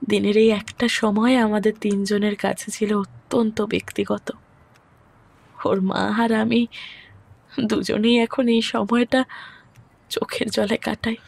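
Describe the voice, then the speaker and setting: A woman's voice: mid-range voice, flat delivery, takes it slowly, vocal fry, long pauses, darkish tone. A woman playing a weary, reserved young widowed mother in an audio story, speaking in a subdued, hesitant way. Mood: wistful grief. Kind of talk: Bengali audio story acting.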